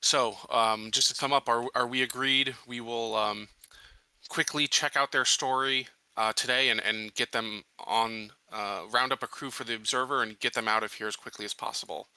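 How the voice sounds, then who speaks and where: Very bright, forceful man at game table. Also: medium pitch, fairly even intonation, moderate pace, smooth, no echo, low-quality recording. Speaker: tabletop roleplaying game participant. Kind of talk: casual in-character game discussion.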